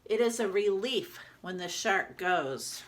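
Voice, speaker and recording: A woman reading a children's picture book as a small, timid yellow toy duck, with expressive, dramatic narration. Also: mid-range voice, slow pace, slight background noise. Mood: wistful storytelling.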